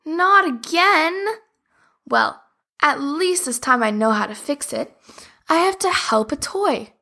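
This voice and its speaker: A woman's voice: high-pitched, expressive, slow pace, pushed and bright, voice pushed up, exaggerated voice. A woman voicing a kind, helpful young girl in a storybook, speaking cheerfully and eagerly.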